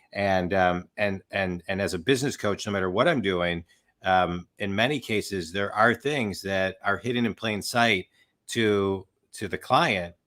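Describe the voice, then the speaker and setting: Guy doing a business interview, low voice but bright and punchy, kinda wordy questions.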